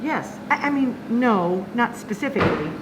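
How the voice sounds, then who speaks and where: A woman's voice: on the high side, animated, moderate pace, very noisy, natural voice. A woman playing an earnest, devoted believer in a radio play, speaking hesitantly as she searches for words. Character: earnest, devoted woman convinced by a new leader.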